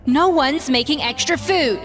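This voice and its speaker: An actress playing a strict, exacting head chef in a video game, brusque and commanding, with a caring side underneath. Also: female voice, sits high, unhurried. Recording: game dialogue over background music.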